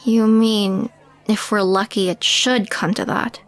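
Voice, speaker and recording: Woman acting slow-talking skeptical young woman. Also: mid-range voice, steady tone, faint music behind. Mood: wry. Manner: hesitant, wry, questioning. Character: skeptical, wry alien young woman, reluctant accomplice. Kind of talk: intimate audio roleplay acting.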